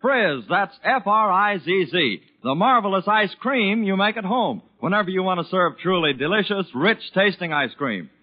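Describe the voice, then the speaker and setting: High-pitched vintage male radio announcer. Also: expressive. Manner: salesmanlike, deliberate emphasis. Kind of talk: scripted 1940s radio announcing and ad reading. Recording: muffled 1940s radio broadcast.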